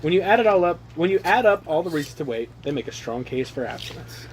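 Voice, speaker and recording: A young man with a fairly high voice reads aloud in a mock-earnest way and drops into joking, sweary chat. The recording is amateur, with some noise around him. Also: expressive, quick pace. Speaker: reader among his brothers. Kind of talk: joking read-aloud with casual asides. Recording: noisy amateur home recording.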